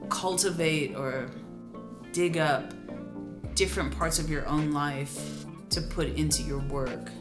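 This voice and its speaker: Slow-paced woman host over guitar music. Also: sits low, fairly even intonation, reverberant. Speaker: host and singer. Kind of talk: promotional behind-the-scenes talk and sung performance. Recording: music playing behind the voice. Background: plucked guitar music.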